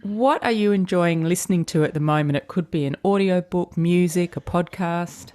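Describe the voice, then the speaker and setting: A woman hosting a podcast, reading a scripted intro and then interviewing a guest in a measured, friendly way. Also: on the low side, darkish tone, dry recording. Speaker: podcast host.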